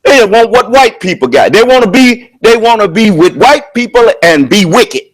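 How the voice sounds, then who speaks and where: High-voiced guy preaching loud, booming and emphatic, lots of rhetorical questions. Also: sing-song, normal speed. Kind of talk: sermon at a prayer service.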